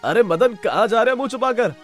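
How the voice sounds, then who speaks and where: A man's voice: very high voice, lively intonation, a little fast, soft background music. A man voicing a stubborn, brash village freeloader in a cartoon story, arguing in exclamations. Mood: indignant. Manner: argumentative, exclamatory.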